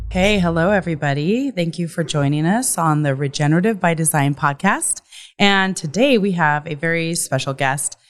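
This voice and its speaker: A woman hosting a podcast, reading a scripted intro and then talking with a guest in an upbeat way, going through lists of topics. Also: lowish voice. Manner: upbeat, listing phrases. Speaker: podcast host.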